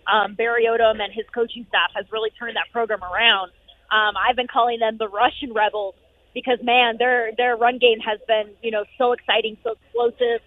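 A woman sports reporter calling in from an arena, upbeat and full of fillers, her voice going hoarse. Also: fairly high pitch, dry recording. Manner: upbeat, rapid, many fillers. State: losing her voice. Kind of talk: phone-in sports radio chat. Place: noisy arena. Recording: tinny phone line.

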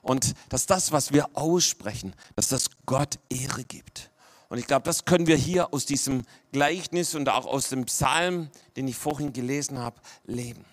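A man's voice: medium pitch, a little slow. A man from Swabia, preaching a sermon to his congregation, often checking in with "ja?" and dropping to a near-whisper for emphasis. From Swabia, Germany. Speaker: pastor.

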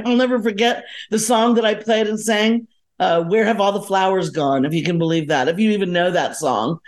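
A middle-aged or older woman from South Florida talks as a podcast guest in a medium-pitched voice. She is chatty and casual, wandering into asides and correcting herself as she recalls her life. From South Florida, United States. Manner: chatty, digressive, self-correcting. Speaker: podcast guest, musician and educator. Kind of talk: casual reminiscing interview chat.

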